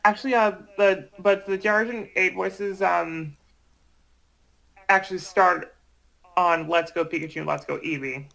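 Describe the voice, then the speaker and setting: A man explaining things in casual chat, haltingly, often repeating and correcting himself. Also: high-pitched, fairly even intonation, creaky, sharp tone, rough recording.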